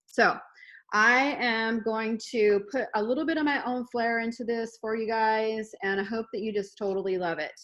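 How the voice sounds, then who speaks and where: A woman's voice: medium pitch, fairly bright tone. An upbeat woman fitness coach hosts an online workshop. She talks in a chatty, encouraging way with plenty of fillers, over a video call. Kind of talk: casual workshop talk.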